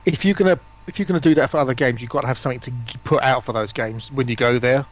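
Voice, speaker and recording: A man with a mid-pitched voice talks informally on a stream. He speaks as a guest in loose, conversational phrases. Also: fast. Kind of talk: casual chat.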